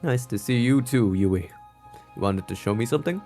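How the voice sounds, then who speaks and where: A man's voice: mid-range voice, lively intonation, normal speed, darkish tone, soft background music, lightly acted. A man voicing a wary, smitten visual novel protagonist with dry, dramatic delivery. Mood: agreeable.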